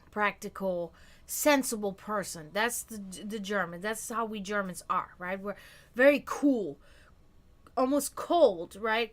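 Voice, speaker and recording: A woman in her thirties hosting a casual live stream, talking frankly and reflectively and often letting her sentences trail off quietly. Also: mid-range voice. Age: 30s. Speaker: stream host.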